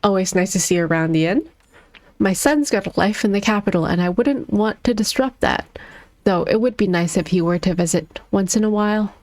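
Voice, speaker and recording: A woman voicing a gentle, wistful older widowed innkeeper, a man in a fantasy game. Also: medium pitch, a little fast, no echo, natural voice.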